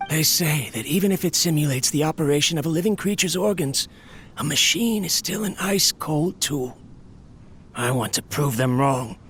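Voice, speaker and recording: An actor playing a tired, lonely inventor speaking softly to his machine in a game. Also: on the high side, animated, moderate pace, faint music behind. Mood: weary. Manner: tired, melancholy monologue. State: ill, coughing, weakening. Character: weary lonely man, a failing inventor talking to his machine. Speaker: game character.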